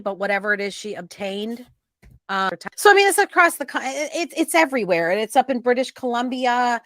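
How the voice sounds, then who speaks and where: A woman's voice: fairly high pitch, a bit bright, no room sound. A woman hosting an informal true-crime show, talking in an earnest, emphatic and cautionary way.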